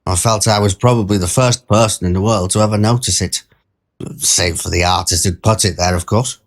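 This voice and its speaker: A man's voice: on the low side, fairly even intonation, a little fast, close to an everyday voice. A man playing a mild-mannered, wordy train passenger who tells his anecdote as a conversational, digressive short story. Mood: wry, digressive. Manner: digressive, pedantic, wryly formal wording. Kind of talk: scripted first-person short story narration, conversational.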